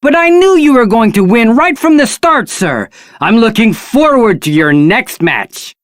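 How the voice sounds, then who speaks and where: A woman with a British accent playing an excitable, courteous battle arena attendant in a video game, polite and theatrical. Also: a little high, a little slow, no room sound, clean studio sound. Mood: admiring. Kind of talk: video game character acting.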